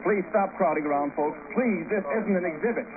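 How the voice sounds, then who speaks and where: Male actor as fidgety official, highish and animated, flustered, crowd behind, vintage radio drama. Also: brisk, phone-quality sound, lightly acted. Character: fidgety, flustered male public relations man at emergency. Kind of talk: 1950s radio drama acting.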